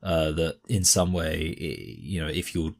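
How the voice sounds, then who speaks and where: Deep-voiced man arguing in commentary. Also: a little slow, vocal fry. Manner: emphatic, many fillers and restarts. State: slight cough. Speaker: commentary host. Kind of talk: argumentative commentary on a video.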